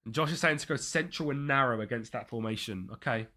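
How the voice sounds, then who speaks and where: Young guy on a live game stream, bright punchy voice, chatting with chat and thinking out loud. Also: medium pitch, fast. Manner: chatty, thinks aloud, reads out chat messages. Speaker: streamer playing a football management game.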